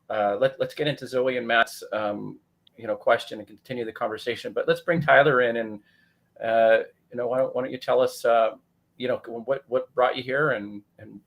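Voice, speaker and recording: A man hosting a live online discussion, steering the conversation and inviting others to speak. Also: medium pitch. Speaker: host.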